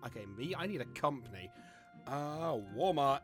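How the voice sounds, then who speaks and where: An excitable game host running a word game in casual banter with friends. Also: mid-range voice, moderate pace, music in the background. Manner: excitable, exclaiming.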